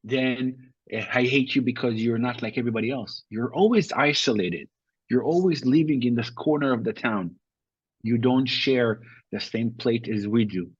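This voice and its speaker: Israeli man, husky voice, dry sound. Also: mid-range voice, moderate pace, no echo, lo-fi sound. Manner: thoughtful, with frequent restarts and uh fillers. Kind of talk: interview answers.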